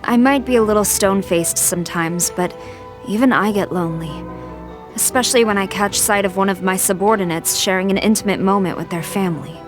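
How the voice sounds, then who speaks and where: A woman playing a stern, loyal military general in a video game, speaking in a formal, measured, earnest way. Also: medium pitch, natural voice. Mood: wistful. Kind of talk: scripted game character voice lines. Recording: music behind the voice.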